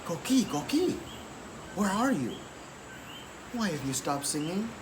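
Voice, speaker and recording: A man's voice: sits high, very animated, unhurried, voice pushed up. A man voicing a kindly, helpful iguana who speaks in a soothing, reassuring way. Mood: concerned. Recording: clearly noisy background.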